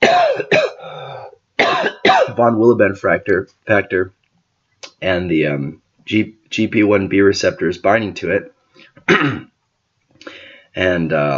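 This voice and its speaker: A man who is sick and losing his voice gives an informal whiteboard lecture, often clearing his throat and using filler words. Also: low voice, muffled recording. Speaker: teacher explaining a medical topic.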